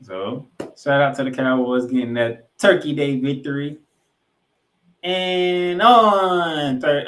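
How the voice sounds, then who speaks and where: A man's voice: fairly high pitch, a bit bright. A man hosting a sports podcast, giving recap commentary in an emphatic way and repeating points for effect. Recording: roomy, echoing room sound.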